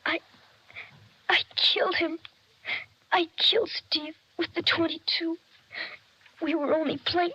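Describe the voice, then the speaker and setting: A woman's voice: very high-pitched, fairly even intonation, slow, pauses often, pushed and bright, full character voice. A woman playing a distraught, guilt-ridden young boy in 1940s radio drama style. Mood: anguished, guilty. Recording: dull old radio broadcast.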